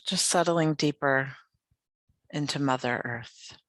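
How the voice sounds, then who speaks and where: A meditation guide leading a yoga nidra practice in a soothing, unhurried, gently inviting way with pauses. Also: mid-range voice, dry recording.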